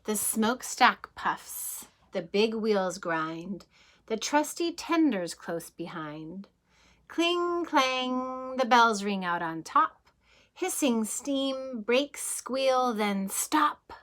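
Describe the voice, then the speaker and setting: A kindergarten teacher, a woman, reading a picture book aloud to young children, gently and expressively, pausing to ask them questions. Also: a little high, big pitch swings.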